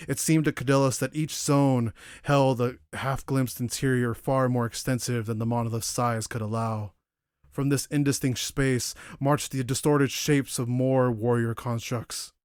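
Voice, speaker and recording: A man's voice: mid-range voice, smooth, no echo. Male narrator, dry close recording. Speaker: audiobook narrator. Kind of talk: dramatic scripted narration of science fiction war story.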